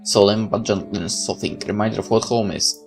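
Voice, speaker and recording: Man, low creaky voice, let's player, reading story text aloud, casual asides, faint music behind. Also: steady tone, fairly quick. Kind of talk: reading a visual novel aloud with casual commentary.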